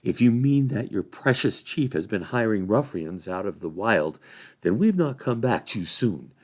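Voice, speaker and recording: A man's voice: lowish voice, sing-song, a little slow, darkish tone. A man voicing a bold, indignant young hobbit warrior in a storybook reading. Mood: determined. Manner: assertive, scolding.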